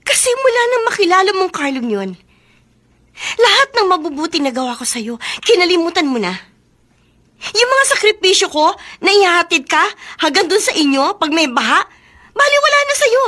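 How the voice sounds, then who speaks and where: A woman's voice: extremely high pitch, big pitch swings, moderate pace, bright, forceful tone, exaggerated voice. A woman acting in a Filipino radio romance drama as a lovelorn young woman, pleading and emotionally intense. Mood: hurt, reproachful. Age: young adult. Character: lovelorn young woman secretly in love with her best friend.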